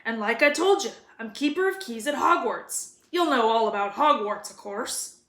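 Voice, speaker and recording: A woman voicing a gruff, hearty giant man with booming rustic speech in a storybook read-aloud. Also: animated, normal speed, a bit bright. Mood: hearty, friendly. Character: gruff, hearty giant man, friendly but quick-tempered. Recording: roomy echo.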